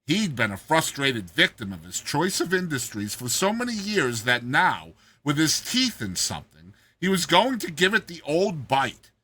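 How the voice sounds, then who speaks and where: Theatrical man narrating with very animated pitch. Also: normal speed, hardly any pauses, slightly rough. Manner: theatrical, expressive delivery. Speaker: story narrator, show host. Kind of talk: dramatized audiobook reading of a classic satirical story.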